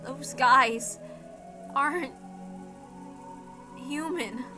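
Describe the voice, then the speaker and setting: Woman as a wounded, defiant high-voiced teenage girl. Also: drawn-out words. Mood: urgent, bitter. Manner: slangy, emphatic, dramatic. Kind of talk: dramatic visual novel reading. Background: music.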